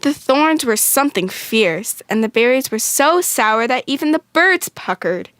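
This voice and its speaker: A woman narrating a children's storybook from a script, expressive and clearly phrased. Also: high-pitched, measured pace, background noise.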